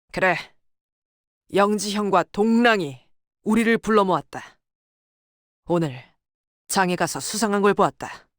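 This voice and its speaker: A proud female tactician from a video game, stern and commanding. Her words come clipped and formal, with soldierly discipline at a middling pitch. The sound is close and very dry. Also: expressive, slow, pauses often, dry recording. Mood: grave, measured. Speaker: game character voice. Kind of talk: dramatic scripted game dialogue. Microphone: close, dry studio microphone.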